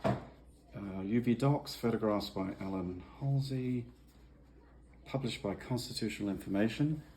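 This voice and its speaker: A man's voice: on the low side, measured pace, noisy background. A man introducing and reading poems aloud at a family gathering. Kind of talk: slow poetry reading.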